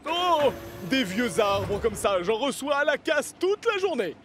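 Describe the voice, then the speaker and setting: A man voicing a busy, good-natured junkyard machine in a French cartoon dub, with a jovial, animated manner. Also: extremely high pitch, measured pace, a little hiss or hum, heavily acted. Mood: breezy, busy.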